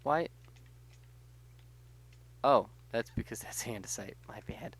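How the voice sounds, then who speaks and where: A man's voice: mid-range voice, lively intonation, takes it slowly, vocal fry, fairly bright tone, husky. A man co-hosting a gaming session in casual banter, rambling playfully and sometimes breaking into mock singing or silly quotes. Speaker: let's play co-host.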